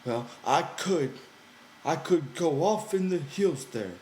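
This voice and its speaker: Audiobook role: big childlike farmhand, high whiny pleading voice, ungrammatical country talk, roomy sound. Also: male voice, expressive, slow, a little mellow, a little hiss or hum. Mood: hurt, wistful. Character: big childlike simple-minded man, eager, pleading ranch hand.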